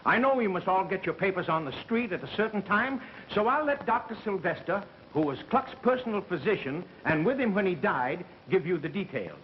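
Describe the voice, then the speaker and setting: A blustering American executive from a 1930s picture, very high-pitched and swooping in intonation. He is pompous, emphatic and quick to boil over, on a dull old soundtrack. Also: animated. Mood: pompous, formal. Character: blustering, hot-tempered radio company boss, a pompous man. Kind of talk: 1930s Hollywood film acting. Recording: dull vintage 1930s film soundtrack, faint noise.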